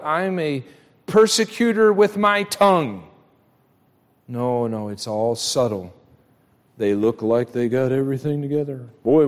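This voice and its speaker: Man preaching emphatically in a reverberant hall. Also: medium pitch. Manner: emphatic, direct address with rhetorical questions; acts out imagined dialogue. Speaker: pastor preaching. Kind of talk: church sermon.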